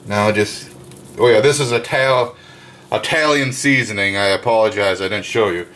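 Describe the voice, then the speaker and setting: A man casually narrating his home cooking step by step, chatty and relaxed, with plenty of fillers, recorded in a kitchen with the windows open. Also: on the low side, normal speed, rough recording.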